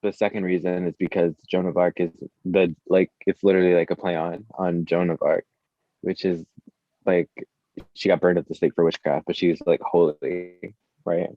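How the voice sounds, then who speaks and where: A man's voice: deep voice, flat delivery, moderate pace, no echo, lo-fi sound. A man chatting casually as an interview guest, a musician with an informal, slangy, hesitant way of talking, saying "like" constantly. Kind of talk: casual chat.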